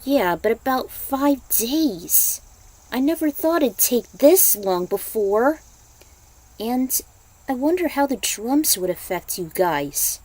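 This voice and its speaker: A woman's voice: fairly high pitch, expressive, slow pace, darkish tone, dry recording, voice pushed up, poor audio. A woman voicing a fretful, easily startled young woman in an amateur story reading. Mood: anxious, downcast.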